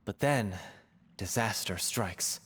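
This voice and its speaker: A man playing an earnest, excitable and theatrical troupe scriptwriter in a video game. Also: fairly low pitch, slow pace, big-room sound, a little hiss or hum. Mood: dramatic storytelling.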